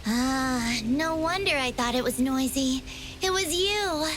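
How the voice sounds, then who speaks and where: A woman's voice: high-pitched, takes it slowly, background noise. An actress voicing a playful, curious young woman investigator in a video game, with a breezy, casual manner. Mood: reassuring.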